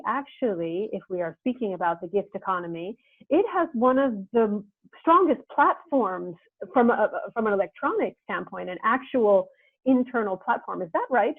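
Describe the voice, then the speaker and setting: A woman's voice: fairly high pitch, big pitch swings, moderate pace, dry recording. Woman interviewer, earnest, reflective, long winding sentences, tinny call audio, dry sound. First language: English. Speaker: interviewer and host. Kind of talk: casual video-call interview.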